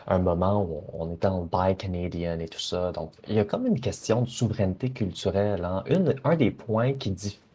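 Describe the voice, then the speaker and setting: Husky-voiced man hosting an interview. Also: deep voice, fairly quick, few pauses, dark tone. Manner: hesitant, repeats words while asking questions. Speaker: interview host. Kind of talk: casual interview questions.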